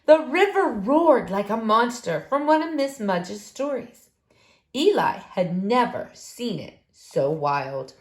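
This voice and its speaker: Slow, lively woman reading in an echoey room. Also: on the high side. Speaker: storybook reader. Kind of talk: expressive children's read-aloud narration. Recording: roomy, echoing room sound.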